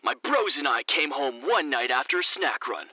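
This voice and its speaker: An actor playing a young, goofy stoner bro phoning a radio show in a comedy audio drama, slangy and gushing. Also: male voice, mid-range voice, big pitch swings, moderate pace, sharp tone, dry recording, lo-fi sound, exaggerated voice. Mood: earnest, dazed. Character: gushing, laid-back young male stoner-bro caller.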